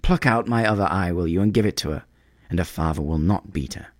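A man voicing a compassionate male prince statue in a storybook, solemn and sorrowful, gently commanding in his appeals. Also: sits low, fast talker, no echo, clean studio sound.

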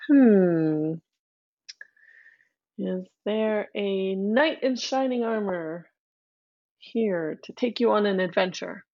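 Woman playing a storybook king, slow, probing, gently stern. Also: medium pitch, expressive, dull audio. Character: calm questioning king father.

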